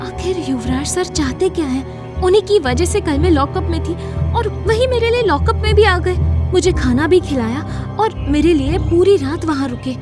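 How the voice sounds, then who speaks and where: A woman's voice: very high voice, lively intonation, normal speed, music in the background. A woman playing a troubled, earnest young college student in an audio drama, with a wondering manner. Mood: confused, brooding.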